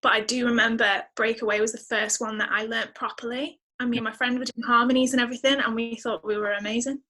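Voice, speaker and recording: A woman's voice: a little high, flat delivery, fast talker, pushed and bright. A woman with a Northern English accent chatting casually and informally as an interview guest. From northern England. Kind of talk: casual chat.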